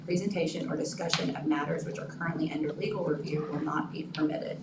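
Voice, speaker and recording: A woman's voice: sits low, steady tone, quick pace. A woman from Oklahoma with a slightly scratchy voice, chairing a school board meeting and reading the rules aloud. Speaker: board president. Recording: echoey room, distant mic, noisy.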